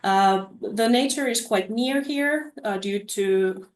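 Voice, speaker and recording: A woman presenting study opportunities over a webinar, halting, with many fillers and restarts. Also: medium pitch, roomy sound.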